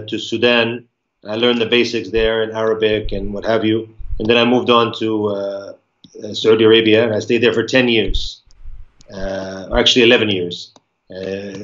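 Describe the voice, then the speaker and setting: Fast-talking American man on a muffled video call. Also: on the low side. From United States (Virginia). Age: 40s. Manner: talkative, frequent restarts and fillers, Arabic religious phrases. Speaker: guest speaker, Islamic lecturer. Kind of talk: live online interview. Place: indoor room, plain wall. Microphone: video call webcam.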